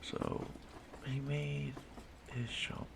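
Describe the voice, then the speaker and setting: A man playing an ancient immortal stalker in an audio roleplay, softly menacing yet courteous and wistful, musing haltingly in a gravelly murmur that often drops to a whisper. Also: mid-range voice, little pitch movement, background noise, full character voice. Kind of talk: slow intimate audio roleplay monologue.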